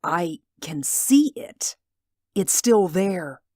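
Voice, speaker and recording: A man's voice: sits high, lively intonation, drawn-out words, mellow, dry recording, clean studio sound, full character voice. An actor playing an anxious, insistent man who keeps seeing something in a window, in a 1940s radio drama. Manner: earnest and insistent. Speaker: worried neighbor.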